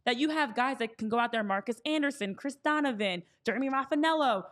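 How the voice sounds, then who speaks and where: A woman's voice: a little high, quick pace, fairly bright tone. A woman hosting a sports show, talking casually and conversationally.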